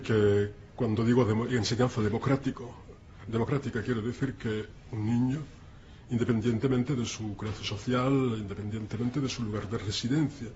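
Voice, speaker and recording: A man's voice: fairly low pitch, darkish tone, slight background noise. Man, interview commentator: thoughtful, self-correcting, slightly muffled sound. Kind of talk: interview answer.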